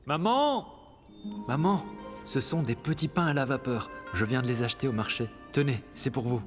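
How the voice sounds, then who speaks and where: An actor voicing a meek, earnest young monster underling in an animated film, polite and hesitant. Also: male voice, medium pitch, moderate pace, music in the background, lightly acted. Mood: fond, sheepish. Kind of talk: animated film dub acting.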